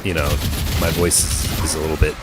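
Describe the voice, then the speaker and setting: A middle-aged man, hoarse and croaky after being sick, rambling through laid-back live gameplay commentary. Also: extremely low pitch, flat delivery, fairly quick, very noisy. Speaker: game streamer. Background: game music.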